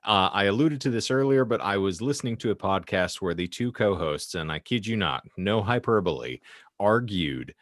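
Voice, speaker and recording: A man's voice: lowish voice, animated, normal speed, a bit bright, no room sound, studio quality. A man hosting a casual podcast, chatting in a wordy, mock-formal way with elaborate vocabulary. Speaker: podcast host.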